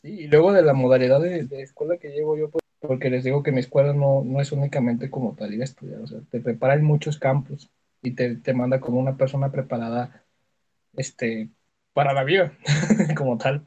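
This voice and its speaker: A man's voice: mid-range voice, steady tone, a little fast, dark tone, slightly rough. A young adult man with a Mexican accent chatting casually as a podcast co-host, telling personal stories in slangy, sweary language. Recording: dull lo-fi voice audio.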